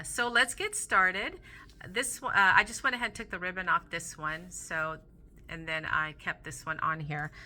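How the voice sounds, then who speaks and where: A woman hosting a casual crafting vlog, chatty and rambling with many fillers, a little hoarse from losing her voice. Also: lowish voice. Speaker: crafting channel host. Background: soft Christmas music.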